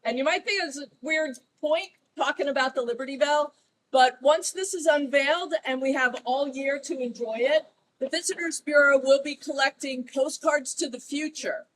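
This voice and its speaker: A woman's voice: high-pitched, normal speed, distant mic, slight background noise, poor audio. A woman, a visitors bureau director, speaking in a chatty, self-deprecating way outdoors at a courthouse ceremony. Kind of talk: public speech at outdoor ceremony.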